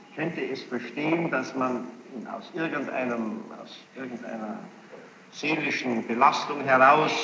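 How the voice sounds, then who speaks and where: Judge in an echoing hall. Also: male voice, mid-range voice. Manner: formal, probing, deliberate phrasing with insistent recapitulation. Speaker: presiding judge questioning a witness. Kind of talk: courtroom questioning. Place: large reverberant courtroom with gallery. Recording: noisy, muffled 1960s courtroom tape, echoing hall.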